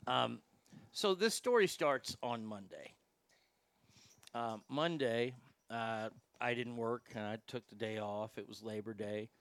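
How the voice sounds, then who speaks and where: Bright-voiced, joking male host. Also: mid-range voice, no echo. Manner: animated, joking, crude, repeats words. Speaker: show host. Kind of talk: casual call-in show talk.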